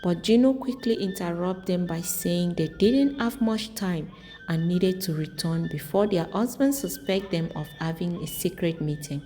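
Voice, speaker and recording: A woman's voice: lowish voice, normal speed, dark tone. A woman narrating a scripted folktale as a storyteller. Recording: long reverb, music underneath. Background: music.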